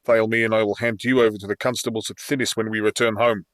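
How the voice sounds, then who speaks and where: A man's voice: fairly low pitch, little pitch movement, quick pace, a bit bright, no room sound, clean studio sound, lightly acted. A man voicing a stern, threatening ship's captain in a fantasy audiobook, commanding and menacing. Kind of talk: audiobook character acting.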